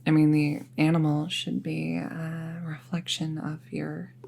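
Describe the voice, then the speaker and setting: Soft, low-voiced young apprentice witch, acted by a woman. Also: completely flat delivery, measured pace, creaky, a little hiss or hum. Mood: musing, casual. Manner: soft-spoken, hesitant, musing, often trailing into near-whisper. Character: friendly young female apprentice witch, casual and thoughtful. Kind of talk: intimate roleplay audio acting, conversational.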